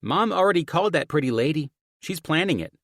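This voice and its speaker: Guy doing a sassy young daughter for an audiobook, high voice, cheeky, playful, bit morbid. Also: animated, fairly quick, fairly bright tone, no echo, clean studio sound. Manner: sassy, teasing. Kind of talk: audiobook character dialogue.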